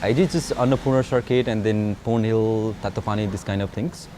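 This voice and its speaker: A man from Nepal with a Nepali accent, a paragliding pilot telling his story in an interview in halting English full of restarts. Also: lowish voice, warm tone, slight background noise. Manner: halting non-native English with many restarts. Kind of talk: interview, recounting his life.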